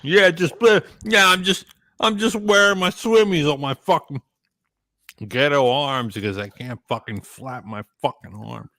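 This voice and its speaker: A man's voice: on the high side, unhurried, pushed and bright, no room sound, studio quality. A drunk man in casual, profane banter, repeating himself and using a lot of slang. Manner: repetitive, heavy swearing, slangy.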